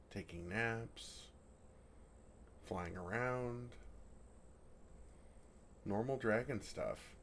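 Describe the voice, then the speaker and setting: Man playing a gentle low-voiced dragon, slightly gravelly. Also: a little hiss or hum. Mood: fond reminiscing. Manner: earnest, softly teasing, innocently puzzled. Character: gentle, oblivious, affectionate male forest dragon. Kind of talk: intimate ASMR roleplay.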